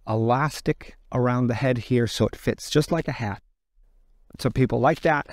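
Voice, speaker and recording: A middle-aged man gives a measured, plain-spoken explanatory product demonstration as a presenter. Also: mid-range voice, a little mellow, no room sound, clean studio sound. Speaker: presenter of a beekeeping product guide. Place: outdoors in an open gravel yard by fields.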